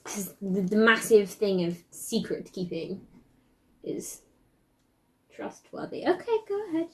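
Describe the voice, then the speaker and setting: A woman's voice: mid-range voice, very animated, a little slow, a little mellow, poor audio. Pointed woman reasoning aloud. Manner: pointed, reasoning aloud in long run-on sentences. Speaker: player. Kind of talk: in-character roleplay debate.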